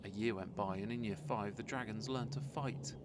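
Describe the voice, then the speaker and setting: A man reading a rhyming children's story aloud as an expressive narrator. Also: on the low side, moderate pace, background noise. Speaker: storybook reader.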